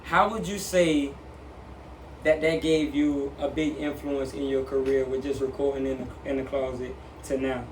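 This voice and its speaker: A man hosting a casual interview, relaxed and quick with short affirmations. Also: mid-range voice, reverberant. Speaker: interviewer host. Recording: far from mic with faint noise.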